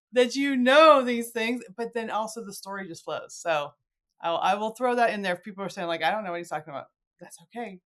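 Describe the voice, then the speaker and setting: A woman's voice: mid-range voice, a bit bright. A middle-aged woman hosting a podcast in a casual, chatty way, informally acting out what people might say. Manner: chatty, informal, acts out imagined remarks. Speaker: podcast host. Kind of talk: casual chat.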